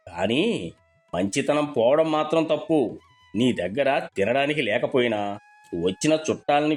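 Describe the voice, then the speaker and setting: A kindly village elder acted by a man speaks with affection and gives earnest counsel. His voice is mid-pitched, in a storybook drama with music behind it. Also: a little mellow, natural voice. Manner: affectionate, advising.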